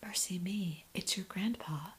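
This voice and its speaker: A woman's voice: mid-range voice, a little slow, lightly acted. A woman voicing a bold, tomboyish teenage girl in a whisper. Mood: frank whisper.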